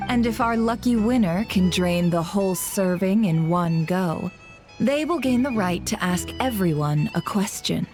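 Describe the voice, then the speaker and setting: A woman playing a poised female host of a drinking game who announces in a smooth, formal way, with game music behind. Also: medium pitch, expressive, a little slow, a little mellow, close to an everyday voice. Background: game background music.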